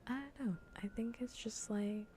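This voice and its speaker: A woman livestreamer chatting in a soft, breathy ASMR whisper, casual, teasing and blunt, close to the microphone. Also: fairly high pitch, fairly even intonation, normal speed, a little hiss or hum. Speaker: livestreamer playing a game. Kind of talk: whispered ASMR chat while gaming.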